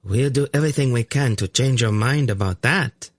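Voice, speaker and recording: A menacing storybook woman performed by a man. The pitch is lifted well above the reader's own, with a chilling sweetness over the threat. The sound is dry. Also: normal speed, no echo, lightly acted. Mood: sweet menace.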